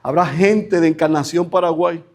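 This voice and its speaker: A man preaching a sermon as pastor, acting out imagined conversations with animated emphasis. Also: a little high, normal speed.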